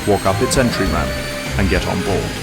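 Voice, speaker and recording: A man's voice: deep voice, brisk, vocal fry, over loud music. A man narrating a scripted audio drama, describing the scene as narrator.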